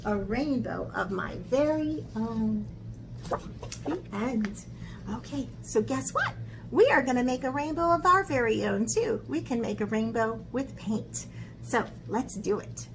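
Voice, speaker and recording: A woman art teacher talking to young children in an expressive, encouraging way, as in a lesson or picture book read-aloud. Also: a little high, measured pace, background noise. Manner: expressive, encouraging talk aimed at young children, with dramatic gasps.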